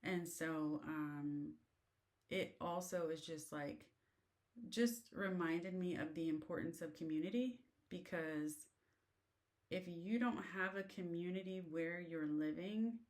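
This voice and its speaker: A woman's voice: lowish voice, steady tone, slow pace, darkish tone. An American woman talking casually and rambling with lots of fillers, as she shares advice on living abroad. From United States. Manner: chatty, rambling, many fillers. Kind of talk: casual talk to camera. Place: in a car.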